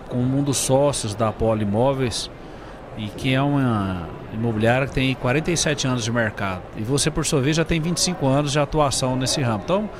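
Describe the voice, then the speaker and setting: A man with a Brazilian accent co-hosting a conversational podcast interview. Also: medium pitch. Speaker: podcast co-host. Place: busy trade fair stand. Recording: clear urban ambient noise at trade fair.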